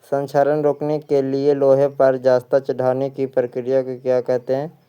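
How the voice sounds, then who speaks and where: A man's voice: mid-range voice, monotone, moderate pace, clean tone, muffled recording. A man with an Indian accent teaching for an exam, reading quiz questions and answers aloud and often repeating them.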